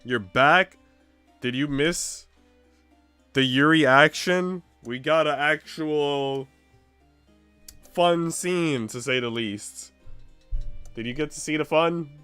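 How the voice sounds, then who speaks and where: A man's voice: a little high, slow, long pauses, bright, forceful tone, clean tone, no echo, soft background music. A man streaming a visual novel, reading its narration aloud and chatting with viewers.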